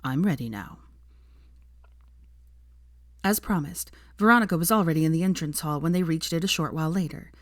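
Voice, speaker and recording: A woman's voice: fairly low pitch, fast, few pauses, no echo, clean studio sound. A woman reading fiction aloud as an audiobook narrator, in scripted storytelling style.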